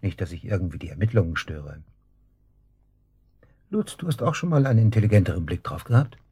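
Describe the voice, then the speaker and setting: A man's voice: low-pitched, animated, fairly quick, mellow, husky, dry recording, full character voice. An actor in a German radio drama playing a smug, taunting man just released from custody, speaking with mocking casualness.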